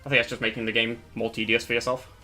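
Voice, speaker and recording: A man's voice: medium pitch, level tone, rapid-fire, sharp tone. A young man hosting a live stream in a casual, rambling way, his voice cracking as he loses it. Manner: rambling, self-correcting, chatty. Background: faint background music.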